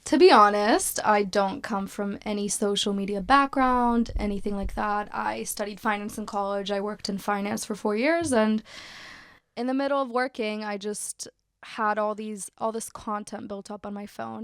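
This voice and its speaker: A young American woman chats as a podcast guest, upbeat and loose, dropping plenty of likes and ums. Her voice carries a gravelly fry at mid pitch. The sound is dry and close, with no room around it. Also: no room sound. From United States. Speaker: podcast guest, community founder. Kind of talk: casual interview chat.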